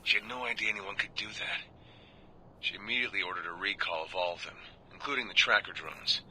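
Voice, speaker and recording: An actor playing a world-weary American prison guard, confiding and plain-spoken, in a video game audio log. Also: male voice, lowish voice, quick pace, bright, forceful tone, slight background noise, poor audio. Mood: wry, confiding. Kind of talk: scripted audio-log monologue.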